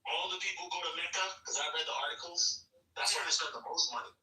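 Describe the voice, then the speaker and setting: A man's voice: sits high, fairly even intonation, fast talker, bright, forceful tone, faint music behind. A man chatting casually as a podcast host, telling travel stories in a laid-back, slangy way. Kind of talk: casual chat. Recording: roomy, distant room sound.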